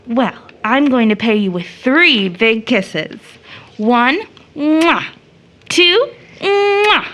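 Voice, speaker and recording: An actress playing an affectionate young woman in an audio drama, with a tender and expressive manner. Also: a little high, very slow, heavily acted. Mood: playful, tender. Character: Rachel, affectionate young woman doting on small children. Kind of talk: stage play audio drama acting.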